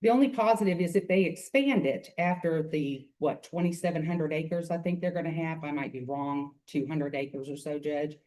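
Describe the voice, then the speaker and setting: A woman's voice: low-pitched, steady tone, moderate pace, hardly any pauses, warm tone. A woman with a Memphis, Tennessee accent giving earnest, rambling public comment at a hearing, speaking into a microphone. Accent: Southern US, Memphis Tennessee. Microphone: podium microphone.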